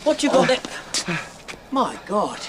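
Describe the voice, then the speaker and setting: An actor with an English accent playing a suspicious, officious police sergeant in a radio drama. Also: male voice, sits high, huge pitch swings, slow pace, very noisy, full character voice. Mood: suspicious, alarmed.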